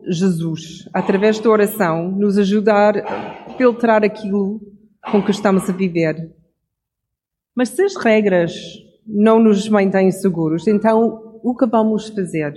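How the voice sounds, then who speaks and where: Middle-aged preacher type, calm reflective pace, fixes own words now and then. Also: medium pitch. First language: English. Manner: reflective, measured, with small self-corrections. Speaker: devotional speaker. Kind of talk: Bible devotional message.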